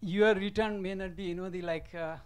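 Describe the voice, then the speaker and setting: A man from Nepal commenting from the audience at a conference, halting and full of fillers. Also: high voice, steady tone, clean tone. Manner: halting, many fillers and restarts. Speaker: audience member asking a question.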